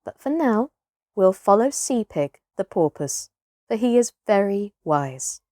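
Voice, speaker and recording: A gentle mother seal from a children's storybook voiced by a woman, speaking slowly to her pup. Her tone is calm and instructive, with lively rises and falls, recorded close and dry. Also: mid-range voice, dry recording. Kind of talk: children's storybook character reading.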